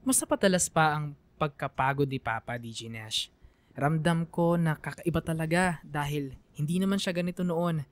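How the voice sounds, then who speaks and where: A woman's voice: low-pitched, moderate pace, dry recording. A woman with a Filipino accent giving an emotive, reflective scripted narration for a radio drama.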